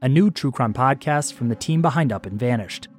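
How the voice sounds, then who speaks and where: A man's voice: mid-range voice, animated, fairly quick, darkish tone, soft background music. A man reading a scripted trailer for a true crime podcast.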